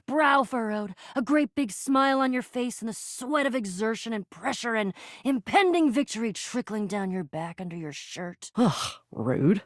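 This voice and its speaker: A woman's voice: mid-range voice, no echo, studio quality, close to an everyday voice. A woman voicing a plucky, earnest and slightly insecure adventurer in a video game, mixing dramatic quest-speak with stammering asides. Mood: earnest, persuasive. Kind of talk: animated video game character acting.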